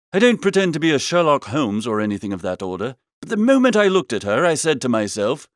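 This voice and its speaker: High, lively-voiced man narrating comic fiction. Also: no echo, clean studio sound. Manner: breezy, theatrical, old-fashioned upper-class idiom. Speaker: audiobook reader. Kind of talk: scripted first-person comic story narration.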